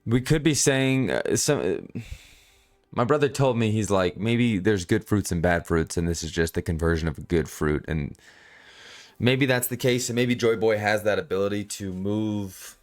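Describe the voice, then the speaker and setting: Male reviewer, low voice. Casual off-the-cuff commentary, halting and rambling, circles back over his own phrases. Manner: rambling, halting, repeats himself. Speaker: manga chapter reviewer.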